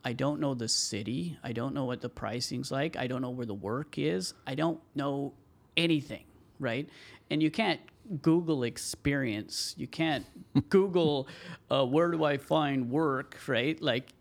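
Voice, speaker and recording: Male tradesman guest, gravelly, rambling storyteller, mid pitch. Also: measured pace. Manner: rambling, many fillers and "you know". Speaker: tile contractor, podcast guest. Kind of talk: casual interview storytelling.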